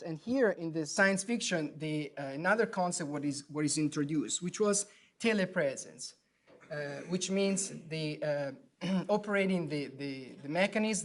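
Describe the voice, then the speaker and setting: A surgeon from Italy with an Italian accent gives an academic lecture, pausing often with fillers and restarting phrases. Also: male voice, a little high. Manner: hesitant, many fillers and restarts. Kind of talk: academic lecture at grand rounds. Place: lecture hall.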